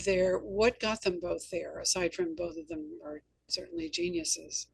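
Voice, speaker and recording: A woman's voice: lowish voice, animated, a little slow, gravelly, slightly rough, dry recording, rough recording. A woman hosting a conversational interview, chatting and sharing anecdotes. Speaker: interview host and novelist.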